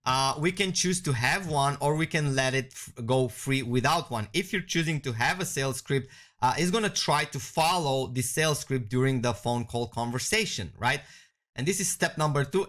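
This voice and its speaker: A man giving a conversational software walkthrough as a product demonstrator. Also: medium pitch.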